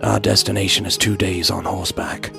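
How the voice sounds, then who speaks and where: A man as a deep, gravelly ancient warrior. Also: flat delivery, brisk, over music. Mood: dry, droll. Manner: formal, archaic, droll. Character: ancient, dry-witted male warrior from another age. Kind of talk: scripted audio drama acting.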